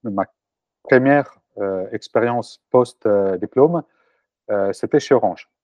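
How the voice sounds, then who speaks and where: A man's voice: lowish voice, takes it slowly, no room sound. Italian man in his forties, entrepreneur guest; dry, slightly dull recording. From Italy. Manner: hesitant, frequent fillers and restarts. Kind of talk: casual interview answers.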